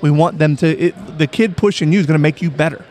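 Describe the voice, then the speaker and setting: Male host, interviewer, short agreeing replies, convention hall, boom mic. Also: fast, mellow. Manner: brief agreeing backchannels. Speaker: podcast host. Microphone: studio mic on boom arm with headphones.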